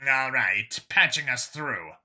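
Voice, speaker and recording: A man voicing a businesslike alien police handler, brisk and procedural, in a sci-fi story. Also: medium pitch, expressive, sharp tone, exaggerated voice. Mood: hesitant.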